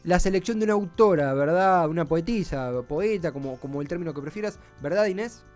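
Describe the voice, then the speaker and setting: An Argentine man hosting a radio show informally, with florid, halting phrasing and roundabout introductions. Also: high-pitched, darkish tone, faint music behind. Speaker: radio host.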